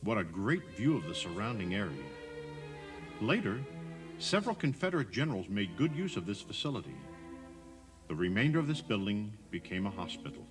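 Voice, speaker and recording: A man's voice: lowish voice, sing-song, brisk, music in the background. A man narrating a scripted audio tour, explaining things directly to the listener.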